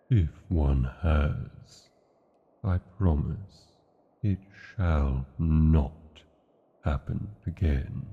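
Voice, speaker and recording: A British man playing a tender, brooding dragon lover in a romantic fantasy ASMR roleplay, speaking formally with old-fashioned endearments in a gravelly, husky voice. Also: rumbling low, full character voice. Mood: reassuring. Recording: muffled, narrow phone-like sound.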